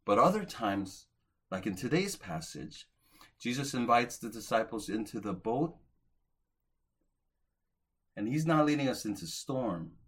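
A man in his forties preaching a sermon as a pastor, earnest, lifting to emphatic peaks and then dropping soft at the ends of phrases. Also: medium pitch, big pitch swings, measured pace, pauses often, husky. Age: 40s.

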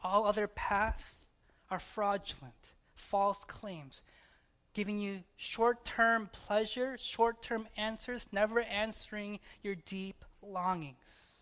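A pastor preaching a sermon emphatically, moving between hushed and forceful delivery, with repetition and rhetorical questions. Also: medium pitch, steady tone, slow pace, clear, resonant tone.

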